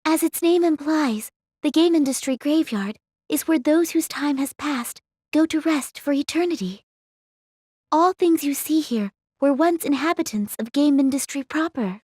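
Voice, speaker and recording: A woman's voice: high voice, moderate pace, a little mellow, dry recording, clean studio sound, natural voice. A woman voicing a composed, wise guide in an anime game, formal and serene. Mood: solemn.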